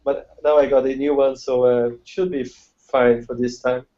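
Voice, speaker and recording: A man's voice: mid-range voice, level tone, normal speed, dark tone. A man from Switzerland who speaks natively, an English student talking hesitantly with many uhs over a video call. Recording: dull lo-fi video call audio.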